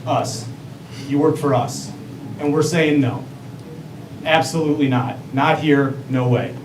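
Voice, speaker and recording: A mail carrier speaking firmly and bluntly at a city meeting in short, punchy sentences. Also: male voice, fairly high pitch, measured pace. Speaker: public commenter, mail carrier. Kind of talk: public comment at a city meeting. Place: council meeting room. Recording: echoey hall, distant mic, noisy.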